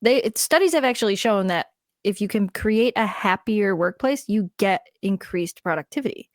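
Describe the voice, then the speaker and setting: A woman's voice: medium pitch, expressive, moderate pace, no echo. American woman chatting in a dry-sounding room. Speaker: podcast co-host. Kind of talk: casual chat, storytelling.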